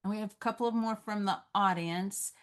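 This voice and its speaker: A woman's voice: mid-range voice, fairly even intonation, a little fast. A woman moderating a script-reading Q&A in a conversational, reflective and encouraging way, passing on audience comments.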